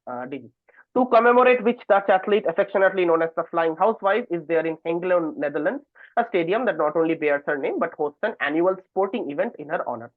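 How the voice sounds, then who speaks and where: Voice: mid-range voice, expressive, fast, no room sound. A quizmaster reading trivia questions aloud on an online call and ruling on the answers. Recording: thin phone-like online call audio.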